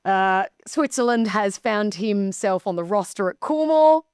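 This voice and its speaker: A woman's voice: medium pitch, expressive, unhurried, a little mellow, clean tone, no room sound, studio quality. An Australian woman co-hosting a horse racing show, chatting and commenting with emphatic enthusiasm. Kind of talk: casual racing chat and commentary.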